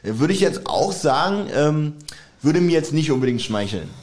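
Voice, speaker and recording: A man's voice: a little high, big pitch swings, normal speed, a little mellow. A man co-hosting a podcast in casual chat, teasing his partner and often laughing as he talks. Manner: teasing, joking, laughs often while talking. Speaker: podcast co-host.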